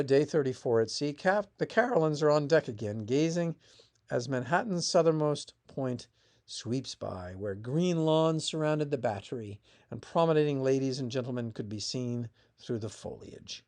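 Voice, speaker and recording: Male author, mid-pitched voice, scripted historical narration, measured delivery, emphatic starts, falling phrase endings. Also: a little mellow. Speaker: author reading own essay.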